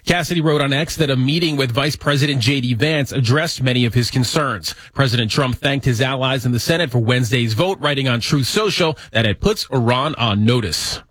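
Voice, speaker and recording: A man's voice: medium pitch, brisk, few pauses, a bit bright, a little hiss or hum. A man with an American accent reading scripted radio news as an anchor. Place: dry studio.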